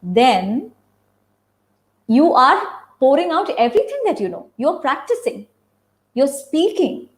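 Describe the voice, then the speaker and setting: A woman's voice: high voice, sing-song, slow, pauses often. A woman theatre practitioner explaining ideas on a webinar, stressing her points by repeating key phrases.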